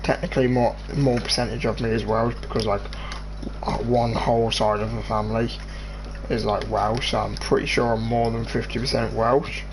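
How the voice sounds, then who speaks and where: An English teenage boy hosting a gaming video, chatting casually and rambling with halting restarts. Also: medium pitch, flat delivery, background noise. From England. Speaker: gaming channel host. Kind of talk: casual chat over gameplay.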